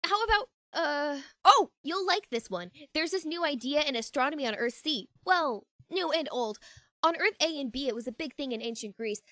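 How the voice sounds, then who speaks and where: A high-pitched, earnest teenage girl, played by a woman, lonely and anxious beneath her nerdy chatter. She talks in a rambling, conversational way for a fan-made audio drama, recorded close and dry. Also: lively intonation, normal speed, pushed and bright, dry recording. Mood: eagerly explaining. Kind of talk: amateur fan-fiction audio drama acting.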